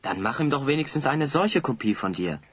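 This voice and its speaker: An earnest adventurer hero in a fantasy audio play. His voice is medium-pitched and polite, recorded very dry and close. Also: expressive, a little fast, breathy edge, dry recording. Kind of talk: German audio drama acting.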